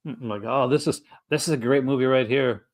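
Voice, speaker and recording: A man co-hosting a live stream, chatting casually, rambling and laughing often, and breaking into quick character voices. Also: medium pitch. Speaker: co-host. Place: home setup for a live stream. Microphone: desk mic for a live stream.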